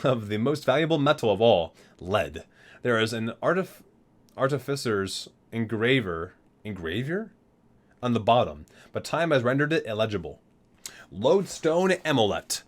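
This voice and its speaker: A man reading item descriptions aloud as an ASMR host, with deliberate, dramatic emphasis. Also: medium pitch, a bit bright.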